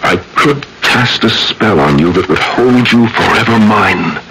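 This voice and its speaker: A man's voice: fairly low pitch, lively intonation, noisy background. An actor in an old-time radio drama playing a brooding, vengeful man who speaks from his grave with theatrical solemnity. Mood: yearning, intense. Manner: slow, solemn, theatrical declamation. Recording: old radio broadcast with music underneath.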